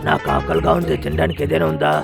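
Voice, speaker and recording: A man voicing a scheming, bossy older-brother bear in a dubbed children's cartoon, with a gravelly, emphatic, theatrical delivery. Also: on the high side, sing-song, quick pace, heavily acted. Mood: pleading. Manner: emphatic, scolding, pleading theatrically. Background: music.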